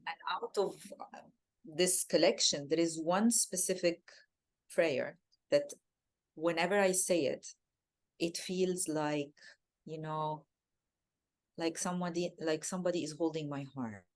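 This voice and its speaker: A woman from Egypt with an Egyptian Arabic accent, a native Arabic speaker, answering interview questions in a soft, reflective way and often repeating words as she searches for them. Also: deep voice, measured pace, pauses often. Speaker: guest.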